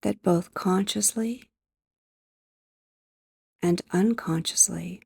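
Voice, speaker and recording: Female sleep hypnosis guide: mellow, creaky low-energy delivery, soft and breathy, gentle, measured, with long pauses. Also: no room sound, clean studio sound. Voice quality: soft, breathy, often near a whisper.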